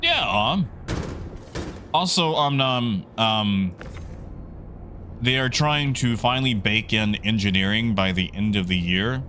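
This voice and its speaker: A man's voice: lowish voice, animated, slow, pauses often, sharp tone. Casual male streamer over noisy background. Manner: rambling, conversational, addresses chatters by nickname. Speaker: livestream host. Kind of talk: casual livestream chat with viewers.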